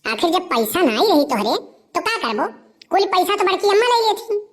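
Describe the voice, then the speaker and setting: A woman's voice: very high-pitched, very animated, normal speed. A woman voicing a cheeky, scheming village boy with an Awadhi accent in a cartoon comedy, wheedling and arguing. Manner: wheedling, argumentative.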